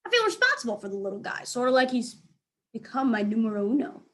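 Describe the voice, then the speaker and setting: A woman reading a children's book aloud as a teacher, in an expressive storytelling style. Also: a little high, fast.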